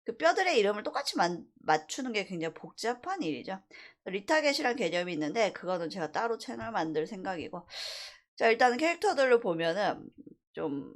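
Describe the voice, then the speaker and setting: A woman teaching a university animation class through a screen-recorded software tutorial, explaining step by step with frequent fillers. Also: medium pitch, very animated, fairly quick.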